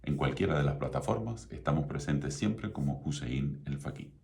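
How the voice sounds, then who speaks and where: A middle-aged man from Venezuela with a Venezuelan Spanish accent, hosting his own radio talk show in a measured, promotional way. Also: a bass voice, expressive, gravelly, a little mellow. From Venezuela (Mérida). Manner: measured, promotional, repeats contact details.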